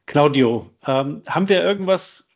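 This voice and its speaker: A man's voice: a little high, expressive, unhurried, highs cut off. A man hosting an interview podcast in casual talk, polite and informal with some hesitant phrasing. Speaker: podcast host.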